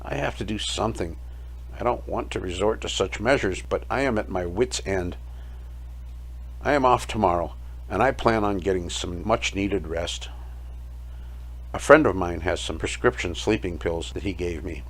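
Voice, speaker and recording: A man playing a weary, sleepless night-shift guard, telling his story as a measured dramatic monologue in an audio play. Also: deep voice. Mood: weary, confiding. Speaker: narrator of a short audio play. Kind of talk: scripted first-person dramatic monologue.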